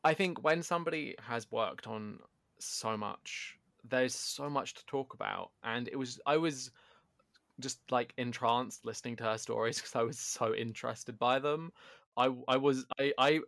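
A man co-hosting a podcast in casual chat, loud and animated, often poking fun at himself. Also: mid-range voice, normal speed, creaky, no echo. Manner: animated, self-deprecating, loud. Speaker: podcast co-host. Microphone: home podcast microphone.

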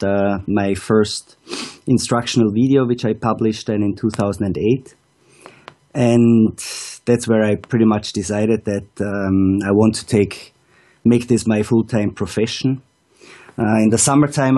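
A man, a ski school director, calling in to tell his story calmly and step by step. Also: fairly low pitch. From Austria. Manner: steady, methodical storytelling with "um"s. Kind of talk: casual interview answers. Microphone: calling in over an online video call.

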